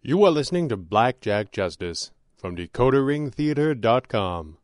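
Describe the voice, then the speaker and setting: A man's voice: medium pitch, sing-song, moderate pace, dry recording, studio quality. A man announcing a radio drama programme.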